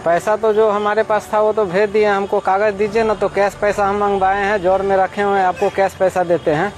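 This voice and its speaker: A man's voice: high voice, level tone, brisk. A man with a Bihari accent talking in a plaintive, repetitive way, explaining and pleading. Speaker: complainant, patient's relative. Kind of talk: pleading phone talk and interview account. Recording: background music over recorded speech.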